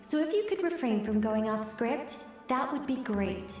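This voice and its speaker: Calm magician guide in a game, polite direction, heavy magical reverb, light music behind. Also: female voice, fairly high pitch, normal speed. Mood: reassuring. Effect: magical echo on a remote voice. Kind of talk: video game voice acting. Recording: very echoey, long reverb.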